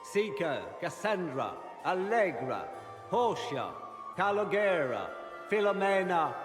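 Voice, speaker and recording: Pompous herald, high voice, ceremonial declamation, echoing hall, fantasy game. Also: male voice, very animated, slow pace, exaggerated voice. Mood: formal, grand. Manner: formal, declamatory, grandly enunciated. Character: pompous male court herald announcing noble guests. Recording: echoey hall, music behind.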